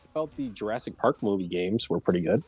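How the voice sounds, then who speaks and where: A man's voice: lowish voice, animated, fast talker, a little mellow, husky, no room sound, soft background music. A man talking informally as a panelist in a casual live-streamed group chat.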